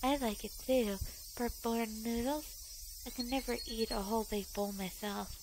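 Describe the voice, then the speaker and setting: A high, sing-song teenage girl, as a woman reader puts her on, sweet and creepy, turning to shrill, cracking pleas. Soft music and crickets sit behind the storybook voice. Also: fairly even intonation, normal speed, noisy background, close to an everyday voice. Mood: eerie sweet. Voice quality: high, pleading, cracking into shrieks.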